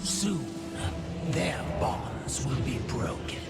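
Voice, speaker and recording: A woman voicing a vengeful female storm dragon villain in a game cutscene, gloating and shouting threats. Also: a bass voice, expressive, drawn-out words, vocal fry, loud music behind the voice, full character voice. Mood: ominous. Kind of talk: video game cutscene acting. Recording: loud game score behind.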